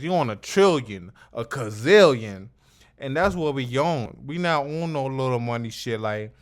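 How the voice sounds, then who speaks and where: A man's voice: mid-range voice, smooth. A man hosting a casual interview, talking emphatically and repetitively with lots of slang and swearing. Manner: emphatic, repetitive, profane street talk. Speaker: interview host. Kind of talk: casual chat.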